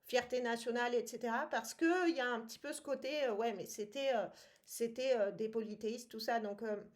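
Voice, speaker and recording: Woman co-host, higher voice, halting and chatty. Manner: hesitant, many fillers, laughs often. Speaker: podcast co-host. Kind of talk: casual book-club chat.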